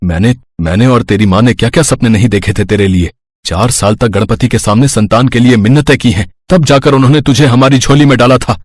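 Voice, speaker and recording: A man's voice: medium pitch, brisk, a little mellow, dry recording, close to an everyday voice. A man playing a stern, devout middle-aged father in a Hindi moral story, earnest, dramatic and pleading. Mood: hurt, reproachful.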